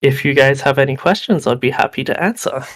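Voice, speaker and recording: A young man who is slightly hoarse from being sick, giving a game development talk over a video call with many ums and restarts. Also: medium pitch, brisk, a bit bright. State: hoarse, losing his voice. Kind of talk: presentation talk.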